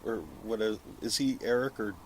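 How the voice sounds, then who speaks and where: A man's voice: lowish voice, steady tone, moderate pace, a loud crowd, rough recording. A man chatting casually as a podcast guest on a porch, joking and self-deprecating in an informal way. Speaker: podcast guest, independent filmmaker. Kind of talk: casual conversational podcast chat. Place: outdoors on a porch.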